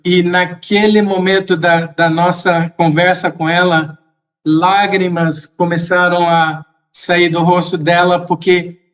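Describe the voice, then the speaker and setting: Slow, high-voiced man telling a story. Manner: slow, measured, reflective, with occasional soft laughs. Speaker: podcast guest. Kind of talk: casual storytelling in an interview.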